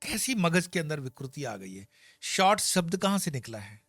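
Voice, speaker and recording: A man's voice: a little high, very animated, moderate pace, a bit bright, dry recording, clean studio sound. Emphatic man giving a scripture talk. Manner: emphatic, scolding asides with homely examples. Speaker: spiritual teacher. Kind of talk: Gita discourse.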